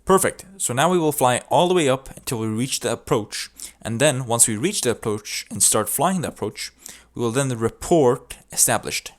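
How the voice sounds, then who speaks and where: A man's voice: medium pitch, expressive, moderate pace, hardly any pauses. A man presenting a tutorial, explaining procedures step by step in an instructional narration. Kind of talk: instructional video narration with demonstrated radio calls.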